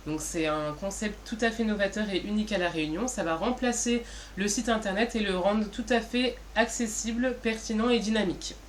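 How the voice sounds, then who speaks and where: A woman's voice: medium pitch, a little fast. Explanatory woman over noisy background. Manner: didactic, poses questions then answers. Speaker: co-presenter. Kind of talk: informal explanatory business presentation.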